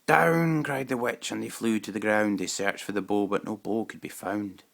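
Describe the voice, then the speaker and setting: A man giving an expressive, dramatic reading of a rhyming children's picture book. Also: lowish voice, moderate pace, vocal fry. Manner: dramatic, rhythmic rhyming delivery. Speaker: storybook reader.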